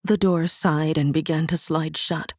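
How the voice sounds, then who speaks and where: A woman narrating a literary novel in a measured, vivid storytelling manner on a studio mic. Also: sits low, fairly even intonation, darkish tone, no echo. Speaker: audiobook narrator.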